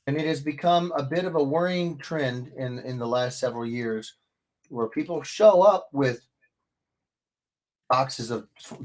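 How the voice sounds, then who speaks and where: A man hosting a casual livestream, from the American Midwest, talking in a rambling, chatty way that keeps interrupting itself, his throat still slightly hoarse. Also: medium pitch. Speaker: livestream host.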